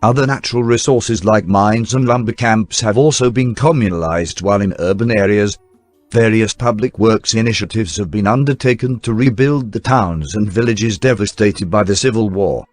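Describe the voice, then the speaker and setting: Low-pitched man narrating a newsreel documentary. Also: moderate pace. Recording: dry voice-over with faint music bed. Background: faint background music.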